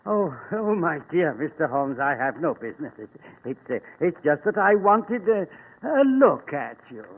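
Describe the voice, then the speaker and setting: A man's voice: a little high, slightly rough, heavily acted. An actor playing a fawning, shabby old man in a 1940s radio drama, stammering and over-eager. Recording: tinny old radio broadcast.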